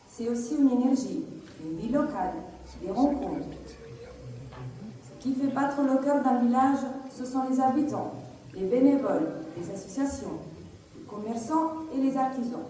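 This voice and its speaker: A woman, a care worker and mother, speaking earnestly and in a measured way at a public meeting. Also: fairly high pitch, dark tone. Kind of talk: public meeting speech, partly read. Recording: echoey hall, mic far off, faint noise.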